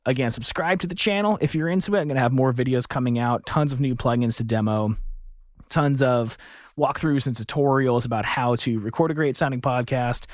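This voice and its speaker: A man's voice: medium pitch, brisk, no room sound. Casual man on a close studio mic. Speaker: video host reviewing audio plugins. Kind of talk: casual product demo chat. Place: small home studio, indoors. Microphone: close studio mic on boom arm.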